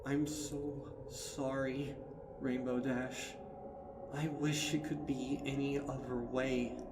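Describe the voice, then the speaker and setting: A man voicing a grave, firm female unicorn, a burdened leader, with stern and deliberate explanation in a dramatic story reading. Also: medium pitch, level tone, roomy sound, recorded from a distance, noisy background, pitched above the performer's own voice, close to an everyday voice. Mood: sorrowful. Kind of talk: dramatic fan fiction reading.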